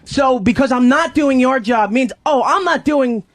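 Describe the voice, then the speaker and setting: A man's voice: extremely high pitch, moderate pace, dry recording. A man in a heated workplace argument, defensive and exasperated, swearing as he makes his case. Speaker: radio show staffer.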